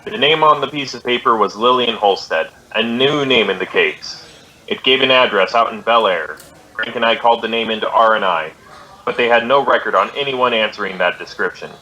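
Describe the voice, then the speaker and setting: A man's voice: lowish voice, a little fast, bright, forceful tone, muffled recording. An actor playing a patient male police detective, clipped and matter-of-fact, in old-time radio police drama style.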